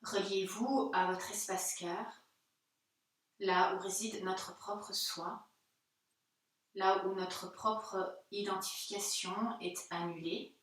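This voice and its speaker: A woman yoga teacher leads a guided meditation at an unhurried, slow pace. Her delivery is gentle and soothing, with pauses between phrases. She is heard a little far from the microphone in a strongly reverberant room. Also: mid-range voice, steady tone. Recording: very echoey room, distant mic.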